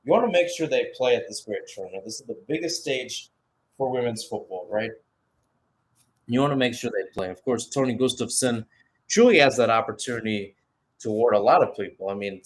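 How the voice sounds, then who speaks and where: A man giving unscripted live play-by-play football commentary in a conversational, analytical way. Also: lowish voice.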